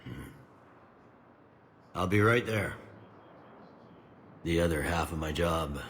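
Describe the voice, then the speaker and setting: An actor playing a gruff, jaded police commander in a sci-fi game, blunt and sardonic. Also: male voice, low-pitched, slow, fairly bright tone, slight background noise. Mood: weary, sardonic. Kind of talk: game character dialogue.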